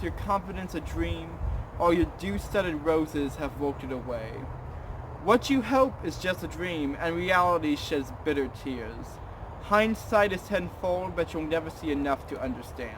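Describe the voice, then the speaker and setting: Young adult man, higher-pitched voice, casual vlogger delivery, rambling and self-deprecating, background noise. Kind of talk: casual chat to camera.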